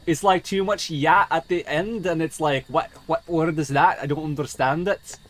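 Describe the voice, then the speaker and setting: A man's voice: on the high side, moderate pace. A man giving rambling, sweary, theatrical video game commentary in a put-on mock dwarf accent. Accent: put-on mock accent he calls a dwarf accent. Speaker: game streamer.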